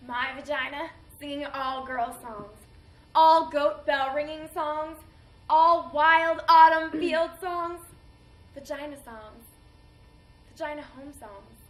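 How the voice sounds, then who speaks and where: A tender, lyrical woman survivor , played by an actress, delivers a theatrical monologue in a high, bright voice. She is picked up at a distance in a reverberant hall. The mood is wistful and remembering. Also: a little slow, full character voice. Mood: wistful, dreamy. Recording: echoey hall, distant mic, faint noise.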